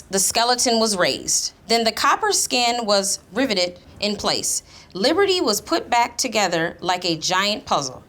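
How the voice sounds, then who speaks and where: Woman, elementary teacher, very varied intonation, medium pitch, instructional delivery, music behind. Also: a bit bright. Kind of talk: explaining a lesson, reading aloud, questioning pupils.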